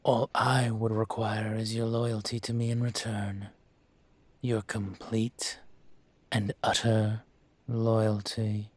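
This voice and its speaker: Man playing a slow, deep-voiced dragon mafia boss. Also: level tone. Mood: coaxing, controlling. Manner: slow, measured, condescending, teasing. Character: male dragon mafia boss, suave, patient, menacing. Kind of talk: scripted audio roleplay, intimate.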